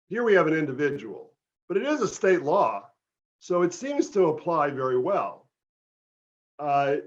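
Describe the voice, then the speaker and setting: An older man, an author, explains a historical court case in a lecture-style library talk, pausing with fillers and correcting himself as he goes. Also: fairly high pitch. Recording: dull lo-fi recording.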